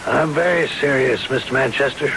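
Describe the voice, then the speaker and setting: An actor in a 1970s radio drama playing a stern police lieutenant, grave and deliberate, on an old broadcast recording. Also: male voice, medium pitch, fairly bright tone, slight background noise, close to an everyday voice, rough recording. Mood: grave, certain.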